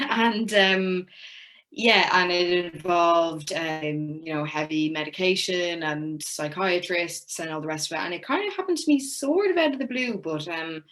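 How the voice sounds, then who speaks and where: A woman's voice: fairly low pitch, fairly bright tone. A young woman with an Irish accent, an actress speaking as a guest, talking with frequent fillers and restarts. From Ireland. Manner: hesitant, many fillers and restarts. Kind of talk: casual interview talk.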